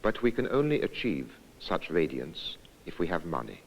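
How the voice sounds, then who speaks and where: A man giving scripted documentary narration in a measured, deliberate, reflective way. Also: deep voice, big pitch swings, breathy edge, rough recording.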